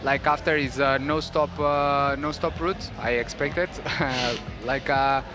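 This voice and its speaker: A man being casually interviewed as a route setter, speaking halting non-native English and searching for words. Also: medium pitch, fairly even intonation. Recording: music playing behind the voice.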